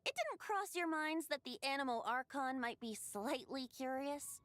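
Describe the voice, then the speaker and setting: A woman's voice: high voice, lively intonation, moderate pace, a bit bright, no echo, faint music behind. A woman voicing a youthful, breezy boy bard in an anime game, light and teasing.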